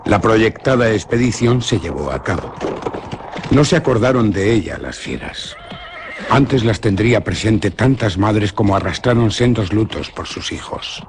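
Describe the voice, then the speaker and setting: A young nobleman in a radio drama, earnest and measured as he tells a tale. His voice sits low but moves expressively. Noise hangs clearly behind the recording. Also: expressive. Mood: grave. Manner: grave, measured storytelling.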